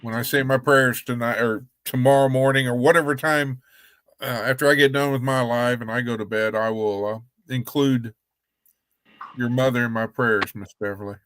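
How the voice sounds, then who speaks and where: Rambling man, gently scolding. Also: medium pitch, steady tone, normal speed, dry recording. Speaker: guest on a livestream. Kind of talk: casual livestream chat.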